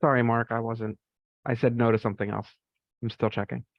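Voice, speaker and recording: A man's voice: mid-range voice, flat delivery, a little fast, creaky, dry recording. A man casually giving a technical explanation on an online call with dull, lo-fi audio. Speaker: technical presenter.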